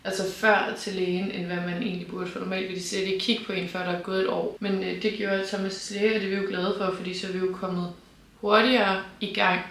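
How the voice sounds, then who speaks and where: A woman in her twenties talking frankly to camera in a casual vlog, seated beside her partner in a bright room. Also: mid-range voice, little pitch movement, creaky, a little hiss or hum. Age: 20s. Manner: open, frank, laughs lightly. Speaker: vlogger. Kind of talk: casual personal talk to camera. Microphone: camera on tripod, seated beside partner. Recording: roomy echo.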